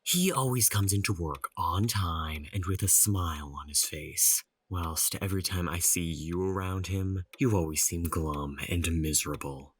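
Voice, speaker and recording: A man's voice: low voice, unhurried, hardly any pauses, breathy edge. A man playing a stern, condescending office boss in an audio drama, speaking in a scolding, lecturing manner. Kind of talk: audio horror drama acting.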